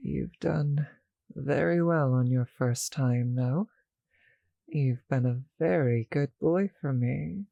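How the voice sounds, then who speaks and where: Someone acting a slow purring vampire, mellow n gravelly, teasing and possessive, asmr roleplay, really close and dry. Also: mid-range voice, no room sound, dull audio. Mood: teasing, restrained. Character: seductive, doting vampire with a teasing, possessive streak. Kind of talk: intimate ASMR roleplay audio.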